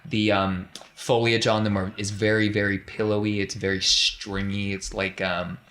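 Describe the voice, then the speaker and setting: A man's voice: fairly low pitch, little pitch movement, moderate pace, a bit bright. A man casually narrating a tabletop game as game master, describing scenes in an agreeable way.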